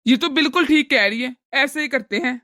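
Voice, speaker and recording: A woman voicing an exasperated, cash-strapped adult son who protests and reasons with his mother in an animated family drama. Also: high-pitched, a little fast, dry recording, studio quality. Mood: supportive.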